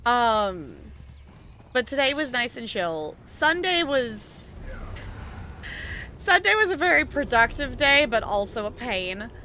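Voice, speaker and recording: A woman's voice: fairly high pitch, very animated, takes it slowly, sharp tone, slight background noise. A woman streamer chatting casually while she plays, laughing often and talking to the game. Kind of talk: casual chat while gaming.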